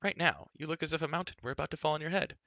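A headstrong young storybook girl, as played by a male reader, chattering away in a raised, bright pitch. She is emphatic and quick to take offence, with an adventurous, bossy drive. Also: gravelly, no echo, muffled recording. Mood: brisk, impatient. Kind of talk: acted audiobook dialogue.

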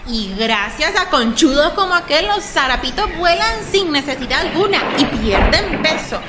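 A woman playing a feisty, warm-hearted migrant from Honduras in a radio drama, earnest and expressive. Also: high-pitched, normal speed, background noise. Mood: earnest, sad.

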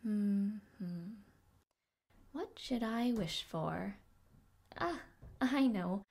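A woman's voice: medium pitch, expressive, extremely slow, gravelly, full character voice. A woman playing a devoted young maid of noble birth in an audio roleplay, speaking politely and formally, with shy hesitations. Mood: gentle, wistful. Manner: polite, soft-spoken, flustered hesitations, formal diction. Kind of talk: audio roleplay addressed to the listener.

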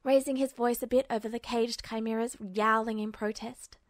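A woman's voice: fairly high pitch, fairly even intonation, normal speed, no room sound, studio quality. A woman reading a scripted story aloud as an audiobook-style fan fiction narrator. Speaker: podfic narrator.

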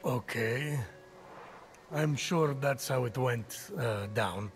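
An actor voicing a wry, exasperated storytelling Titan in a video game, dry and measured. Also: male voice, medium pitch, faint music behind. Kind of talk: video game narration and banter.

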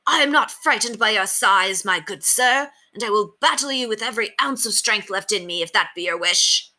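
A woman's voice: mid-range voice, moderate pace, bright, forceful tone, pitched above the performer's own voice. A woman voicing a proud, tiny male knight with courtly, formal speech in a storybook. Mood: challenging.

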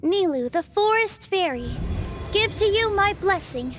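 A woman voicing a kind, serene young forest fairy in a video game, soft and ceremonial. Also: very high-pitched, expressive, unhurried, faint music behind. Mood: tender blessing.

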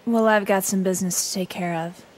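A woman's voice: mid-range voice, fairly even intonation, brisk, slight background noise, lightly acted. A woman voicing a cool, aloof young witch in video game cutscenes, terse and detached.

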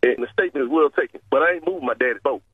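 A man's voice: mid-range voice, rapid-fire, husky, no room sound. A stubborn, combative man who repeats himself while arguing over a telephone line. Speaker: prank call target. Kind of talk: argumentative phone conversation. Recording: dull lo-fi phone line.

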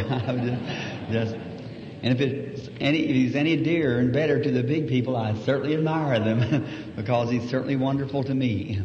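Folksy preacher guy, bit hoarse, old hissy church tape. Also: mid-range voice. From United States. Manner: humble, folksy, soft-spoken with small laughs. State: slightly hoarse voice. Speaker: evangelist preacher. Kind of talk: sermon. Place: church auditorium. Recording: old echoey hall recording, dull and hissy.

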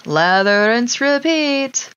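A woman's voice: a little high, lively intonation, slow pace, fairly bright tone, highs cut off. A woman giving casual, informal video game commentary, chatting to herself and to the characters as she plays. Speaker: gaming streamer. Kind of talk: casual Let's Play commentary of a life simulation game. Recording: clear background noise, mouse clicks.

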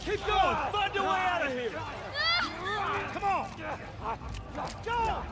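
An actor playing a brave, frantic man shouting urgent escape orders in a video game. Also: extremely high pitch, expressive, slow pace, full character voice. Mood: frantic urgency. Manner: yelling, urgent, commanding. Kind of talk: dramatic video game acting. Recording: loud music and action noise behind.

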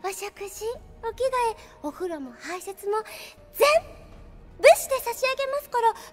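Very high-pitched, sweetly deranged anime girl. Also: moderate pace. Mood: sweetly menacing. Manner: gentle polite speech with chilling calm. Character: sweetly polite, deranged obsessive little-sister type teenage girl. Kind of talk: anime drama CD acting.

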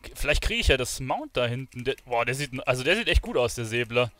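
A man's voice: medium pitch, very animated, quick pace, bright, forceful tone, no echo. Casual male gamer, close and dry. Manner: informal, thinking aloud, with English gamer slang. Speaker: streamer. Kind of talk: casual live gaming commentary.

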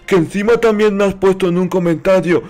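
A man's voice: high-pitched, brisk, darkish tone, close to an everyday voice. A man voicing a naive, dim-witted childlike noob in improvised comedic video game roleplay, with simple, repetitive phrasing. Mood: naive, cheerful.

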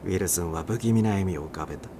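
A man's voice: low voice, fast talker, dark tone. A man reading a story aloud as a dramatic audiobook narrator. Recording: clear background noise.

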